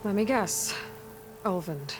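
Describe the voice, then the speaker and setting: A woman's voice: mid-range voice, lively intonation, slow, music in the background. Determined young warrior woman for a video game, mid-range voice, matter-of-fact and focused. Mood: measured, curious. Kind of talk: video game character dialogue.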